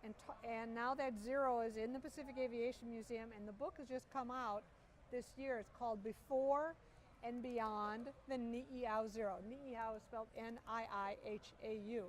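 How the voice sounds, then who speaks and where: Middle-aged woman, slightly high voice, enthusiastic storyteller, faint outdoor noise behind. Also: steady tone, normal speed. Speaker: interview guest. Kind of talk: storytelling interview. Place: outdoor air show.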